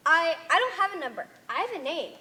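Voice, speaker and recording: Stage play: woman plays lost, indignant young woman, very high voice, hugely animated delivery. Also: fast talker, exaggerated voice. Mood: earnest explaining. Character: bewildered young woman stranded among strange cultists. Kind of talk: amateur one-act stage play acting.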